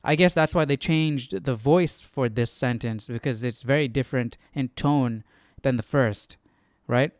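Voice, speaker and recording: A man's voice: medium pitch, a little slow, hardly any pauses, no echo, clean studio sound. A man hosting a casual live Bible study, musing aloud and often checking with a questioning tag. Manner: musing, tags sentences with "right?". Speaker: host.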